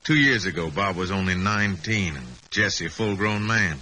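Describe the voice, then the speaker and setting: A wary, commanding gunslinger boss with an American drawl. His voice sits very low, with terse menace, on a noisy vintage radio drama recording. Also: normal speed, bright, forceful tone. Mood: cool, menacing. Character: laconic, menacing male outlaw leader, wary and commanding. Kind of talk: 1950s radio drama acting.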